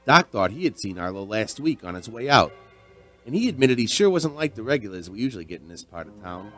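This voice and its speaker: A folksy bartender in a radio drama. His delivery is lively and swings widely in pitch, chatty and conversational like someone spinning a yarn. Music plays under his voice. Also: a little fast. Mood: reflective storytelling. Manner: conversational, storytelling. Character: folksy, chatty male bartender telling his strange tale. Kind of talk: audio drama narration and dialogue.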